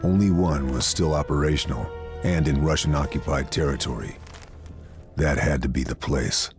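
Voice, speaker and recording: A man's voice: rumbling low, normal speed, soft background music. An actor playing a weary, steely sniper in a World War II game, narrating his mission in a terse, grave, hard-boiled way. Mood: grim determination. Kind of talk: scripted cinematic game narration.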